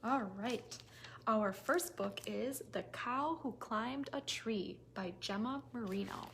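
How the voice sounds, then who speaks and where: Woman, higher-pitched voice, children's story time host, expressive read-aloud, simple phrasing, noisy recording. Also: slow, vocal fry.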